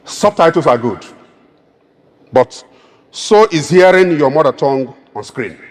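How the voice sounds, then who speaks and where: A man's voice: a little high, animated, unhurried. Emphatic Igbo-accented man in an echoing hall. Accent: Nigerian, Igbo. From Nigeria. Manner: emphatic, oratorical, rousing. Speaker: keynote speaker. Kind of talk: public speech. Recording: long reverb of a large hall.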